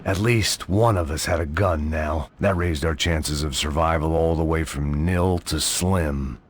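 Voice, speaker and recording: An actor voicing a weary, hard-drinking middle-aged ex-cop in noir voice-over narration, gravelly and deadpan. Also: male voice, a bass voice, a little slow. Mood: brooding. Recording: game audio with loud background noise.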